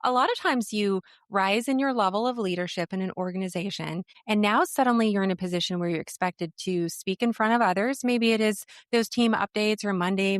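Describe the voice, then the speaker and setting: A middle-aged woman with an American accent speaks as an interview guest, articulate and enthusiastic in the way of a practiced coach. Her pitch sits in the middle range, and the sound is dry, with no room echo. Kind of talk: podcast interview, conversational explaining.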